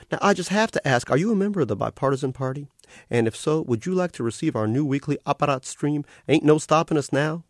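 A chirpy cartoon otter bureaucrat. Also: male voice, mid-range voice, no room sound, clean studio sound, voice pushed up. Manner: sing-song carnival salesman cheer. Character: chirpy electronic male otter, relentlessly cheerful official. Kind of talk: audiobook character voice.

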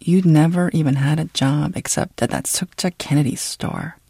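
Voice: mid-range voice, steady tone, normal speed, creaky, mellow, husky, no echo. A reader voicing a stern, bitter immigrant father who scolds with control, in an audiobook novel. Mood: bitter scolding. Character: Joseph, stern bitter Korean immigrant father, berating his daughter.

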